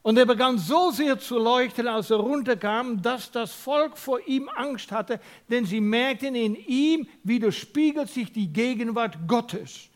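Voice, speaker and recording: A man from the Netherlands with a Dutch accent, preaching a sermon to a congregation. He speaks emphatically, asks his listeners questions, and drops from loud to a near whisper. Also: extremely high pitch, measured pace, hardly any pauses.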